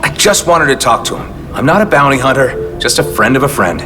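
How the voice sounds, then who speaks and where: An actor playing a terse, determined space pilot in a video game, talking in a blunt, matter-of-fact way. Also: male voice, medium pitch, big pitch swings, brisk, natural voice. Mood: indignant, firm. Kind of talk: video game cutscene acting. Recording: long reverb with loud music behind. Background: music.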